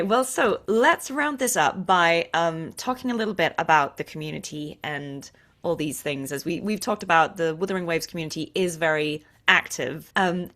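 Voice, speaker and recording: Woman host, slightly low voice, chatty. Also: fairly bright tone. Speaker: interview show host. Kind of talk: casual interview chat.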